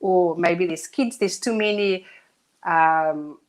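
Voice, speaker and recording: A woman co-hosting a live talk show who talks earnestly and thinks out loud. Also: on the low side, slow pace. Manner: earnest, reflective, thinks aloud. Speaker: co-host. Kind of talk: casual discussion on a live show.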